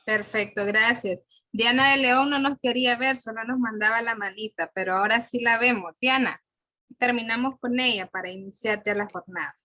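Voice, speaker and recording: A woman's voice: a little high, normal speed, pushed and bright, no echo. A woman moderating an online workshop. She calls on participants by name and encourages them. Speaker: forum facilitator. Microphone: video call.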